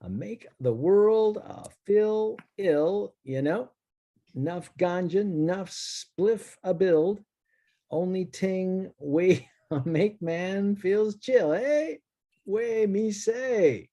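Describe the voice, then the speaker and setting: Man acting a slow, high-voiced laid-back ganja boss. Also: dark tone, dull audio, exaggerated voice. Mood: laid-back, grooving. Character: laid-back male reggae-loving cannabis grower and boss. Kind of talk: table read of a stage play, half-chanted rap. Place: remote video call.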